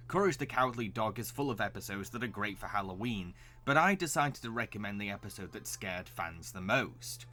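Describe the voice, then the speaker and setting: A man hosts a scripted cartoon recommendation video in a bright, forceful voice. He delivers it as narration, with light music underneath. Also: medium pitch. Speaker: cartoon reviewer and host.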